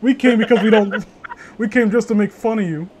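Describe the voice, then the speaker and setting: A man's voice: sits high, normal speed, darkish tone, slight background noise. A man hosting a casual read-along with friends, joking in a crude, teasing way. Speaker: host reading horror stories aloud with friends.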